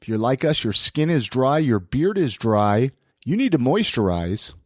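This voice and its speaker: An American man hosts a podcast with a lively, swinging delivery. He sounds a bit plugged up with a head cold. The recording is close and dry, and he talks casually, as if chatting between sponsor reads. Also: medium pitch, normal speed, slightly rough, no room sound. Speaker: podcast host.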